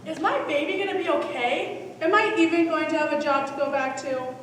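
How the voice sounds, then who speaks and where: An anxious woman fretting in a stage play, acted by a woman. She talks fast in a high voice, far off in a very echoey hall. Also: a bit bright, a little hiss or hum. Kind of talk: school one-act play acting.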